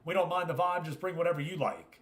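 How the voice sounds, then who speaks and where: A man's voice: on the high side, lively intonation, fast talker. A man hosting a gaming livestream, talking casually and chummily to his viewers as he comments. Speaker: livestream host.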